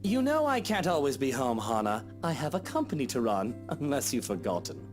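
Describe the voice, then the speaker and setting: Man voicing curt, weary businessman husband, lively intonation. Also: medium pitch, fairly quick, music in the background, voice pushed up, lightly acted. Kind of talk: visual novel character reading.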